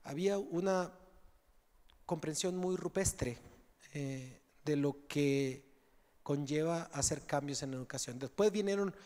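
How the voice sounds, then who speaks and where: A man's voice: fairly high pitch, unhurried, darkish tone. A man from Mexico with a Mexican Spanish accent, answering an audience question at a conference in a conversational way, often checking in with his listeners. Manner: conversational, tags phrases with "¿sí?" and fillers. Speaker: conference speaker. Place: large conference hall. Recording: reverberant conference hall.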